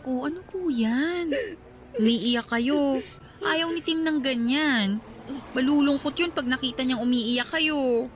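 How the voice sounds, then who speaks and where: A woman's voice: sits high, slow, a little hiss or hum. A woman playing a caring, respectful young woman in a radio drama, speaking with affection. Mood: affectionate, reassuring.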